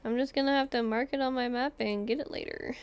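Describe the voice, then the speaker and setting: A woman with a creaky voice chatting casually as she streams live gameplay. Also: a little high, steady tone, fast talker.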